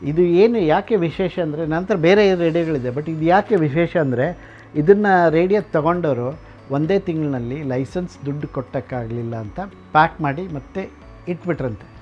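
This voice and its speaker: A man giving an informal guided explanation as a collector and restorer, haltingly, with pauses and English words mixed in. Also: a little high, a little mellow. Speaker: vintage radio collector and restorer. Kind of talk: informal explanatory museum tour to camera. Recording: dull, lo-fi sound with music behind. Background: background music.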